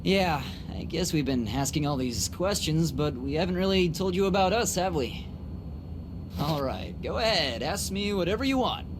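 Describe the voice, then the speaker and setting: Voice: mid-range voice, expressive, normal speed, background noise, close to an everyday voice. An actor playing an easygoing, candid, boyish young man in an English anime game dub, casual and a bit awkward. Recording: very loud music behind the voice.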